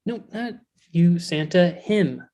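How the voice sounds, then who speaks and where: An actor playing an exasperated, earnest, jealous male elf in a comedy table read, indignant and halting. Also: medium pitch, animated, mellow.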